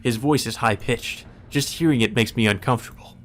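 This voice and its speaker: A man with a middling-pitched voice reads a visual novel aloud. He narrates in the first person with a dramatic storytelling delivery, as in a let's play. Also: a little fast.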